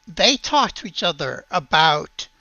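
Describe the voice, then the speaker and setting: Man, tabletop game master, halting delivery, creaky, higher-pitched voice, slightly dull dry recording. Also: very animated, pushed and bright, no echo. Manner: halting, searching for words. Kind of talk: narrating a tabletop roleplaying game.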